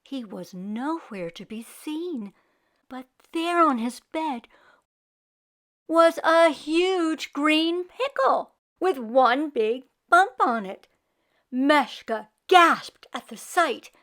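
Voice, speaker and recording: A woman narrating a children's storybook expressively, with deliberate dramatic emphasis. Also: sits high, animated, a bit bright. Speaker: read-aloud storyteller for children.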